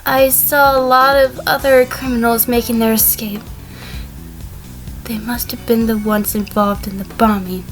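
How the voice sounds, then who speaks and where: A woman's voice: on the high side, flat delivery, measured pace, over music, lightly acted. A woman playing an evasive, defensive sheep on the witness stand in a fan courtroom audio drama, explaining carefully.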